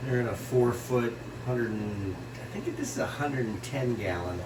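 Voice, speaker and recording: Congested man touring his fish room. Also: medium pitch. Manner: rambling, with many pauses and fillers. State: congested with a runny nose. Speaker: fish breeder giving a tour. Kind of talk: casual walkthrough vlog. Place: home fish room with air conditioning. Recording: echoey room with loud AC hum, mic at a distance.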